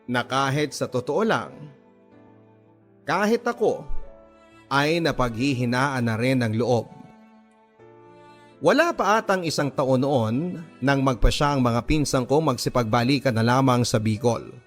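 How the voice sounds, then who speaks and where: A man playing a gentle, earnest young grocery worker, telling his own story in measured, sentimental radio-drama narration with dramatic pauses. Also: mid-range voice, lively intonation, faint music behind, lightly acted. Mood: wistful, earnest. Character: gentle, earnest 24-year-old male grocery worker recounting his life.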